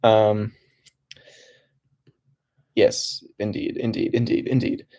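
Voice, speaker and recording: A young man hosting a relaxed livestream from his home desk, talking softly in a calm, rambling way with many restarts. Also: lowish voice, slow. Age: young adult. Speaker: livestream host.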